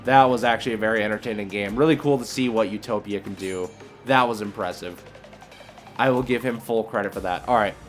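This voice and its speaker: Low-voiced man thinking aloud over a game. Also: a little fast, faint music behind. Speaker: video creator and card game player. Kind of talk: casual gameplay commentary, thinking aloud.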